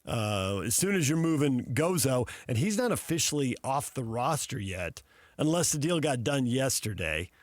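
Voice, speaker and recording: A man's voice: mid-range voice, very animated, normal speed, no echo. A man with an American accent analysing sports conversationally as a radio host, explaining at length with emphasis. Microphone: close studio microphone.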